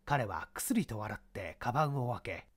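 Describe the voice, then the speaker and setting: A man's voice: on the low side, very animated, moderate pace, breathy edge, no room sound. Man narrating a mystery story.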